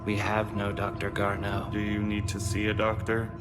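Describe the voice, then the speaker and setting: A man's voice: fairly low pitch, completely flat delivery, normal speed, close to an everyday voice. A man playing an eerily blank, detached company receptionist in a video game. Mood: blank, cold. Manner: robotic, detached, evasive. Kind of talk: scripted game acting. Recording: loud music bed.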